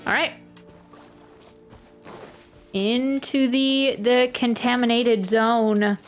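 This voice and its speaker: A woman's voice: on the high side, fairly even intonation, very slow. A woman streaming a game, chatting casually and rambling as she thinks aloud. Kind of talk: casual live stream commentary. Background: game music.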